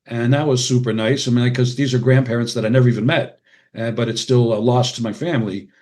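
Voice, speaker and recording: A man's voice: mid-range voice, fairly even intonation, fast talker, a little mellow. An older man with an American accent, a podcast guest reminiscing casually and often quoting people in short anecdotes. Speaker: podcast guest, retired radiologist and author.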